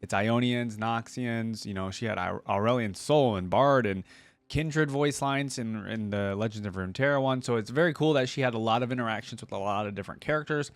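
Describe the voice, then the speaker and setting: A man's voice: medium pitch, fairly quick, no room sound. A man hosting a reaction video, commenting casually in an informal, slangy, rambling way.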